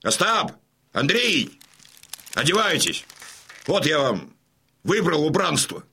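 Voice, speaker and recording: An actor playing a gruff, imperious old Cossack colonel and father in an audio drama, with a husky voice and a bluff, emphatic delivery. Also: fairly high pitch, very animated, slow, sharp tone, heavily acted. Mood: brisk, commanding. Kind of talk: Russian audio drama acting.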